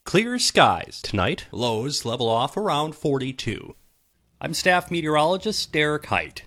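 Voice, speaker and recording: Male weather forecaster, medium pitch, animated scripted read, close dry sound. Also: measured pace, no echo.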